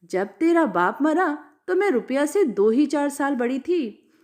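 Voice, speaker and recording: A woman's voice: sits high, animated, a little slow, a little mellow. Woman acting bitter, scolding older village mother. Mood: angry, scolding. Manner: scolding, accusatory.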